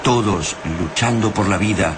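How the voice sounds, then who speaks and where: An actor with an Argentine accent narrating a radio drama war epic in a grave, literary manner. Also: male voice, normal speed, natural voice. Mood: grave, somber. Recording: noisy rumbling battle ambience behind the voice.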